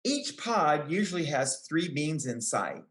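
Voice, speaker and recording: A woman reading a picture book aloud to young children, with careful, deliberate phrasing. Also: low voice, big pitch swings. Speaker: children's book reader, extension educator. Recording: roomy, echoing sound.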